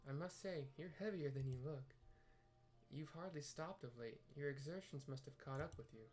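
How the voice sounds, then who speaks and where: A man reading aloud the lines of a brisk, teasing, motherly woman healer in a fantasy game. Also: a little high, darkish tone, soft background music, close to an everyday voice. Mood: teasing, caring.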